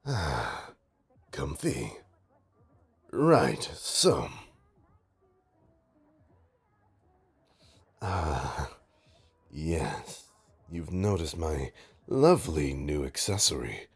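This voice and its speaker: A man playing a suave, smug supervillain in an audio roleplay, teasing his nemesis with a pompous, mock-polite drawl and dramatic pauses. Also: very deep voice, big pitch swings, full character voice. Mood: wry, airy. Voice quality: gravelly, husky. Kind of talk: audio roleplay monologue addressed to listener.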